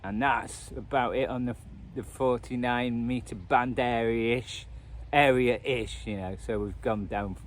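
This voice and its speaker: A man's voice: medium pitch, fairly even intonation, unhurried, few pauses. A man giving casual, unscripted commentary as a hobbyist host, rambling and self-deprecating in manner. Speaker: radio hobbyist and video host. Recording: noisy outdoor recording, radio static and traffic.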